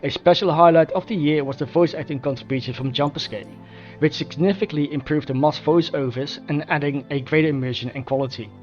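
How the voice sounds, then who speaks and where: A man narrates a formal scripted progress report at a fast clip. His voice is slightly high, and the recording is dull, with faint music underneath. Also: a little mellow. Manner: formal, measured wording. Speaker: mod developer.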